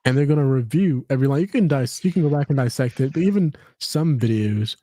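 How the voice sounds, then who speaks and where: A man's voice: medium pitch, expressive, quick pace, mellow. A man hosting a casual podcast chat, rambling with lots of fillers and restarts. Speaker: podcast host.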